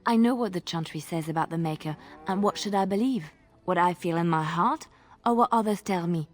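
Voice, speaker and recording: An actress playing a gentle, devout, idealistic young woman in a fantasy video game, speaking earnestly and lyrically. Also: on the low side, a little fast, close to an everyday voice. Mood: earnest, impassioned. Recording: music behind the voice. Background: game music.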